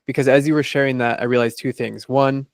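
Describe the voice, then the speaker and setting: A man's voice: medium pitch, steady tone, brisk, no room sound, studio quality. A man, a life coach guest on a podcast interview, methodically laying out his process in numbered steps.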